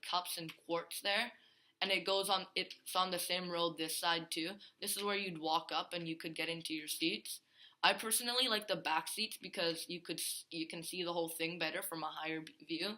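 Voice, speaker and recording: A man's voice: sits high, pushed and bright, low-quality recording. A male gaming host giving a casual, rambling tour of a Minecraft build, full of fillers and restarts.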